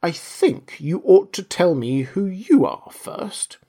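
A little girl from a storybook, as played by a man reading aloud. The voice is lifted high and moves up and down freely. The manner is polite, earnest and a bit hesitant. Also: huge pitch swings, measured pace, full character voice. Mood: indignant.

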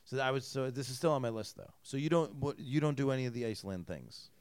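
A man hosting a casual chat, rambling with many restarts and trailing off low at the ends of phrases. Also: lively intonation, fast talker, a little mellow, clear, resonant tone, no echo.

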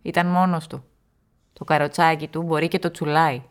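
A woman's voice: sits low, little pitch movement, close to an everyday voice. A young woman acting as a frank student neighbour in a radio drama, speaking matter-of-factly.

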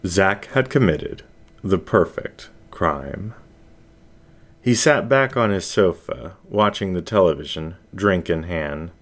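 Male narrator, very low pitch, slow pace, scripted fiction reading. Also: expressive.

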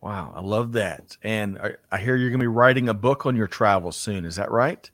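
A man's voice: on the low side, brisk, no room sound. Man, talk show host, upbeat, laughs often, dry close sound. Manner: upbeat, energetic introductions with frequent laughs. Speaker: podcast host. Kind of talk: casual hosted business talk show.

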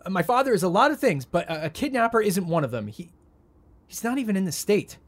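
A husky-voiced man in his thirties as a rich family's volatile heir in an audio drama. His pitch swings widely as he stammers and pushes his words out with wounded indignation. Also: big pitch swings, fast talker. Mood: confused, defensive. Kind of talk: naturalistic audio drama acting.

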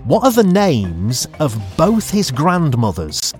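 A man hosting a quiz, putting questions to a contestant in an upbeat, lively way. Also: fairly high pitch, slow, soft background music. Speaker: quiz host.

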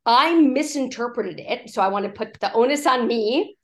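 A woman executive coach, hoarse from a cough, talking in a podcast interview and acting out quoted speech as she tells stories. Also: on the high side, normal speed. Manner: animated storytelling, acts out quoted speech.

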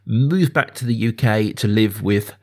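A man's voice: fairly low pitch, measured pace, dark tone. A man hosting a podcast in a casual, chatty way, discussing film informally with asides to the listeners. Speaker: podcast host.

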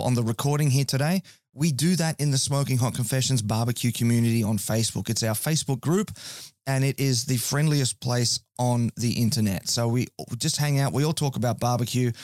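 A hoarse man hosts a podcast and rattles through his announcements. He sounds chatty and upbeat, pokes fun at himself, and keeps his pitch in a middle range. The sound is dry and close, with no room echo. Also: a little mellow. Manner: chatty, upbeat, self-mocking. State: hoarse from a cold. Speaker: podcast host. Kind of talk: podcast intro and sponsor announcements.

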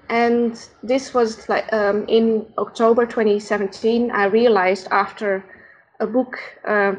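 A Dutch woman with a Dutch accent, a podcast guest who speaks in a halting, thoughtful way while searching for words. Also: on the high side, highs cut off. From Netherlands. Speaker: podcast guest, founder of an organization. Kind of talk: casual interview conversation.

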